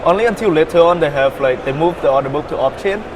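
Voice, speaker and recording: A young man from Vietnam, a startup founder, answering interview questions in a halting way with many fillers and repeated words. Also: on the high side, background noise.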